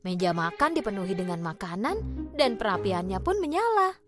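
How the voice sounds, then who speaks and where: A woman narrating a cartoon fairy tale in an expressive, storytelling manner over background music. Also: mid-range voice, moderate pace.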